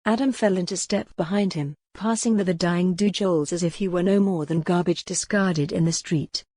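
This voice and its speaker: A woman's voice: fairly low pitch, fairly even intonation, moderate pace, mellow, no room sound. A woman reading scripted dramatic narration of a historical romance as an audiobook narrator.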